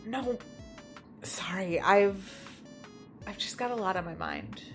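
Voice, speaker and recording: A woman's voice: medium pitch, big pitch swings, slow pace, fairly bright tone, faint music behind. A woman acting in an audio drama as a frank, preoccupied young friend with a teasing side. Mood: distracted.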